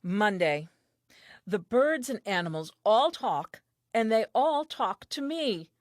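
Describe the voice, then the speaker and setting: Woman as dreamy first woman, curious, earnest, very animated intonation, theatrical audio play monologue, dry close recording. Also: medium pitch, slow pace, no echo. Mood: puzzled.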